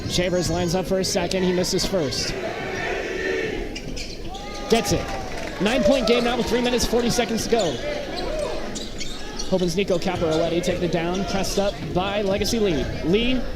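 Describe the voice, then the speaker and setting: A man's voice: high voice, moderate pace, pauses often, loud music behind the voice. A man doing live basketball play-by-play in short, clipped calls. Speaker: play-by-play sports commentator. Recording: very noisy gym, loud crowd din. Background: basketball bounces and crowd noise.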